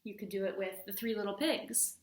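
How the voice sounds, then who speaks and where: A woman's voice: mid-range voice, brisk. Woman reading aloud in an echoey room. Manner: expressive, addresses children with questions. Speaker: teacher reading aloud to children. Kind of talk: storybook read-aloud with class discussion.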